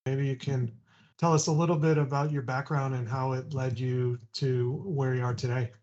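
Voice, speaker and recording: A man's voice: medium pitch, level tone, moderate pace, darkish tone. Male interview host with a mid-range voice, loose conversational questions, on a dull lo-fi recording. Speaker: podcast host. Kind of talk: interviewing a guest. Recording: dull lo-fi audio.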